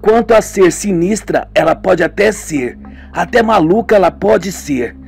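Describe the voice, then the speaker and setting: Brief: anxious young woman man barely raising his voice, husky, lively pitch. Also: soft background music, exaggerated voice. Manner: lightly raised voice, rapid anxious questions. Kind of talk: homemade radio drama.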